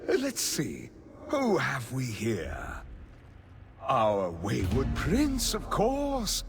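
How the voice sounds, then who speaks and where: An actor playing a grandiose, mocking vampire lord villain in a video game, pompous and silkily sardonic. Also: male voice, mid-range voice, wildly swooping pitch, drawn-out words, creaky, over music, full character voice. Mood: mocking welcome.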